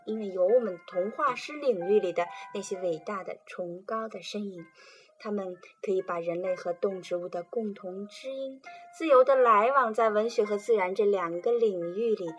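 A woman presenting and reading children's poetry in a calm, gentle storytelling manner. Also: medium pitch, normal speed, faint music behind. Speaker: reader and presenter.

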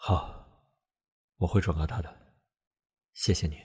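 A man playing a reserved, terse man with a husky voice, answering a household phone in an audio drama. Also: low-pitched, fairly even intonation, unhurried, a little mellow, exaggerated voice. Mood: restrained. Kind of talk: Chinese amateur audio drama.

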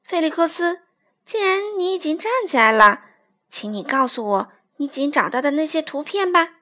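A woman's voice: high voice, animated, normal speed, sharp tone. A woman voicing a strict, easily startled female schoolteacher in a children's story, with a commanding manner. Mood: instructing, firm. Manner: commanding, instructive.

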